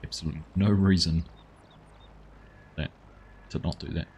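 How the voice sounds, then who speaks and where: A man streams a farming game from his desk, his voice low and a little husky. He chats easily with his viewers as he plays, reading out their names and thanking them. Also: steady tone, normal speed, a little mellow. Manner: chatty, reads out and thanks viewers. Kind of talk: casual live-stream commentary.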